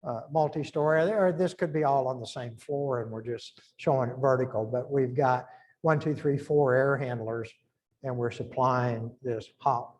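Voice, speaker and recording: A man's voice: medium pitch, moderate pace, darkish tone. A middle-aged man giving an informal engineering lecture, thinking aloud and restarting phrases as he works through numbers.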